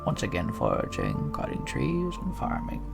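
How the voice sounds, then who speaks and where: A man's voice: low-pitched, huge pitch swings, normal speed, creaky, loud music behind the voice, exaggerated voice. A man voicing an elderly, matter-of-fact village woman with folksy, rustic phrasing in a fantasy game reading. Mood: grave, measured. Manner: folksy phrasing with tag endings.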